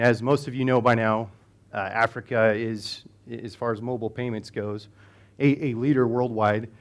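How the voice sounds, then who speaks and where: Chatty American man giving a conference talk. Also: mid-range voice. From United States. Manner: conversational, with many fillers and restarts. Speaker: conference speaker. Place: conference hall.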